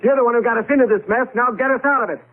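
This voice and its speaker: Frantic husband on tinny old radio. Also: male voice, very high voice, racing. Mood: angry, frantic. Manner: urgent, insistent, emphatic. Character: desperate, frantic husband trapped in the past. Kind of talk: 1970s radio drama acting.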